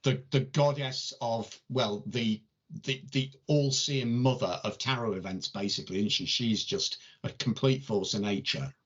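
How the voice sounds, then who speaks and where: A woman's voice: extremely low pitch, a little slow, breathy edge, lo-fi sound. A woman from North West England with a Liverpool accent, hosting a casual chat and calling people darling and love. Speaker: host.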